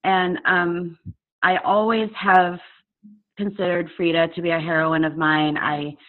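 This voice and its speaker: A woman's voice: fairly low pitch, flat delivery. Tinny-sounding woman in her forties, reflective. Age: 40s. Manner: candid, reflective, halting with fillers. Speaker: poet and author guest. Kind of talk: video call interview. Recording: tinny phone-like audio over a video call.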